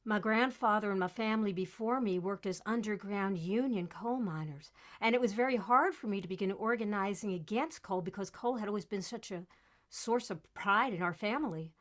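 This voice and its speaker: A woman performs an earnest West Virginia woman from a mining family who has become an activist. She speaks in a folksy, plain-spoken way, at a medium pitch, as in a theatrical storytelling monologue. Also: normal speed, natural voice. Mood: concerned, earnest.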